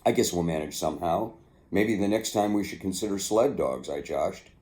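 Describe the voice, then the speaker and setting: An older man with a low voice tells his own story in an unhurried, somewhat halting way. His tone is dark and relaxed, like someone reading his memoir aloud. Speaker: author narrating own memoir. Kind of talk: casual storytelling, then reading aloud from a book.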